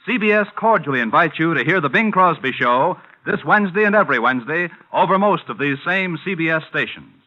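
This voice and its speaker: An American man reads scripted network announcements in formal 1950s style. His voice is a little high, measured and polished. The old recording is dull and muffled. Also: lively intonation, sharp tone. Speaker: radio announcer. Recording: muffled vintage radio recording.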